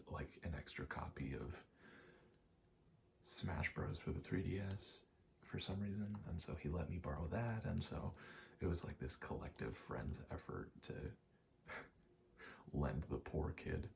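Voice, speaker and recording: Very deep, gravelly, half-whispering young man. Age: 20s. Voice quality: soft, breathy, often dropping to a whisper. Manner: rambling, halting, casually sweary. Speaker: ASMR gaming video host. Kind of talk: ASMR ramble, casual whispered and soft-spoken gameplay chat. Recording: faint noise, game button clicks. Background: controller clicking, faint background noise.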